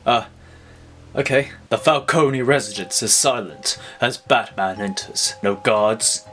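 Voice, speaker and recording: A man's voice: lowish voice, a little slow, fairly bright tone. A man reading scripted, dramatic present-tense narration as the storyteller of an audio drama. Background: music.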